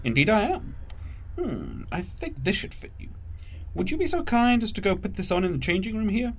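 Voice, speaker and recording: Courteous gentleman train attendant, very animated. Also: high voice, moderate pace, full character voice. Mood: courteous, pleased. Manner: polite, formal, gently solicitous. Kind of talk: audio drama acting.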